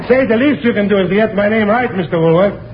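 A very noisy old radio recording carries a man acting as a high-voiced, dim-witted wisecracker. He heckles with cocky bluntness, in broad 1940s radio comedy style. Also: fast talker, muffled recording, natural voice. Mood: indignant, boastful. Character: brash, dim-witted wisecracking male stooge, mangling every name.

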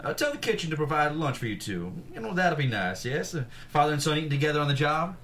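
A man's voice: on the high side, big pitch swings, fast talker, a bit bright, slight background noise. A man acting a pompous, condescending, wealthy railroad tycoon at a script table read. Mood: self-satisfied, commanding.

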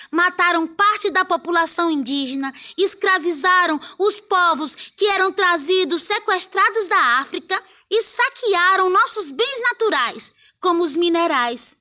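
A woman from Pará in Brazil talks to children on a radio program. Her voice sits very high and sounds bright and forceful. She explains things in a lively, friendly way. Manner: lively, speaking warmly to children. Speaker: radio guest presenter.

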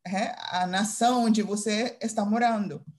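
A Dutch-accented woman from Curaçao answers interview questions, pausing and restarting as she searches for words. Her pitch sits in an ordinary middle range for a woman. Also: steady tone, darkish tone, slight background noise. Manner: hesitant, searching for words with many fillers and restarts. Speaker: English teacher, interview guest.

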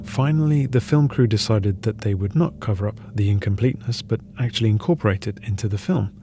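A man's voice: lowish voice, quick pace. A man reading scripted documentary narration as the narrator.

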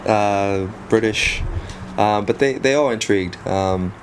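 A man in his thirties with a South African accent, a native Afrikaans speaker, talking casually as an interview guest with relaxed pauses and frequent ums. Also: fairly low pitch, slight background noise. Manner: relaxed, frequent ums, self-deprecating humor. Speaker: guest, photographer.

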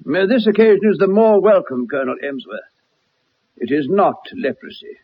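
An actor playing a distinguished, measured medical specialist in a radio drama, formal and certain, on an old radio recording. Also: male voice, sits high, sing-song, narrow, tinny audio, a little hiss or hum. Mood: grave, reassuring.

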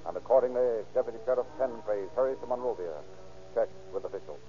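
Actor as mellow, grave radio crime narrator. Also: male voice, mid-range voice, quick pace, soft background music, lightly acted. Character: grave crime-story narrator who also voices an officer. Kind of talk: dramatic scripted narration, old radio. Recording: muffled old radio recording.

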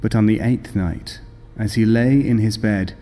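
A man acts out an obsessive, nervous madman in an old-time radio horror play. He justifies himself with intense, deliberate insistence, his voice in long reverb with music underneath. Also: on the low side, mellow, lightly acted. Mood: hushed, sinister.

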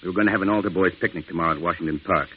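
A man's voice: deep voice, very fast, slightly rough. A man playing a stern, bitter American priest in an old radio drama, curt and accusing. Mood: bitter, grim. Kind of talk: 1940s-50s radio drama acting. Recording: thin, muffled vintage radio broadcast.

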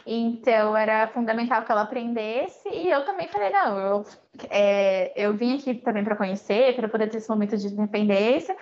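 A Brazilian woman with a Brazilian Portuguese accent talks freely as a podcast guest, stringing her story along with small filler words. Her voice sits a little high. The audio is muffled and lo-fi. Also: normal speed, darkish tone. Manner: chatty storytelling with frequent fillers. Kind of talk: casual interview chat.

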